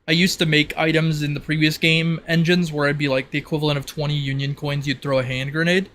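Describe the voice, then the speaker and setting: A man's voice: on the high side, little pitch movement, fast, a bit bright, a little hiss or hum. A man acting as game master, casually explaining the rules of a tabletop roleplaying game.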